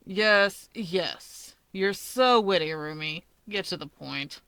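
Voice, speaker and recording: A woman acts out a sardonic young fire-wielder, a man who can't be bothered. The voice is lowered, flat and dryly drawling, made for a narrated story. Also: slow, clean tone, no room sound. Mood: annoyed, flat.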